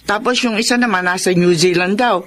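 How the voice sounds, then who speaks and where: An actor playing a chatty, gossipy neighbour in a Tagalog radio drama. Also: male voice, high voice, fairly quick, slight background noise. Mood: gossipy, informative. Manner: gossipy, animated. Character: chatty, gossipy neighbour telling about others.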